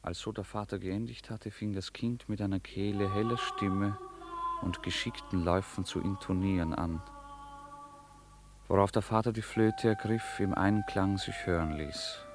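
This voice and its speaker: Very deep-voiced male literary narrator. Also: fairly even intonation, moderate pace, music in the background. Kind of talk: scripted literary narration in a radio play.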